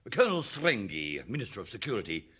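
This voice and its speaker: A man's voice: on the low side, big pitch swings, fast talker, a bit bright, breathy edge, full character voice. A man playing an officious, fawning prime minister in a satirical radio drama, declaiming theatrically.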